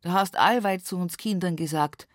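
A woman's voice: fairly low pitch, normal speed, close to an everyday voice. An actress playing a wry country woman with a Bavarian accent, talking intimately to her mother in a radio play monologue. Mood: pleading, insistent. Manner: folksy, addresses her mother directly. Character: rural Upper Palatinate woman reminiscing at mother's bedside, wry.

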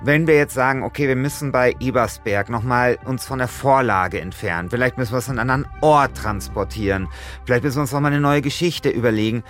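A man's voice: mid-range voice, quick pace, a bit bright, faint music behind. Insistent man in casual discussion. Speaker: crime audio drama writer.

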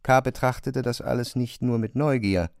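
A man narrating a literary radio drama in a measured, precise way, as a composed observer. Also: medium pitch, gravelly, dry recording, clean studio sound, natural voice. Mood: measured, observant. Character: male narrator of an absurd bureaucratic tale, observant and composed.

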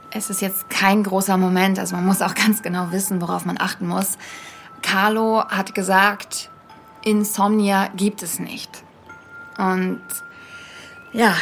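An earnest young woman played by an actress hosts a mystery podcast, speaking confidingly to her listeners. Her voice is creaky and conversational, at a medium pitch. Faint music plays underneath. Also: close to an everyday voice. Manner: confiding, addressing listeners directly. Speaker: podcast host. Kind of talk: conversational narration in fiction podcast.

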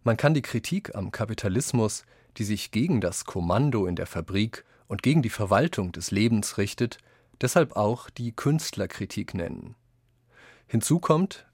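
A man reads scripted quotations in a radio essay, in a measured, deliberate and articulate manner. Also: on the low side, a little mellow, husky, no room sound, studio quality.

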